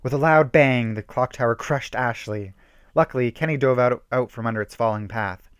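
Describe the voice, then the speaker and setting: A man's voice: medium pitch, moderate pace, smooth, no room sound, clean studio sound. A man giving an emphatic, theatrical reading of a horror story, stumbling now and then. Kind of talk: dramatic reading of a self-written horror story.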